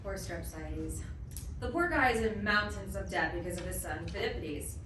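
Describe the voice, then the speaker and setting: A woman's voice: fairly low pitch, expressive, moderate pace. A woman presenting a play, reading out scripted narration to introduce it. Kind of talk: scripted narration to a live audience. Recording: very echoey room, distant mic, faint noise.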